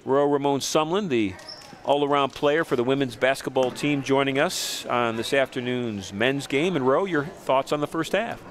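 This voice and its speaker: A man doing play-by-play for a live college basketball game, lively and joking as he calls the action. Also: a little high. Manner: lively, joking, calls plays in quick bursts. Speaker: play-by-play announcer. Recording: loud crowd and gym noise behind.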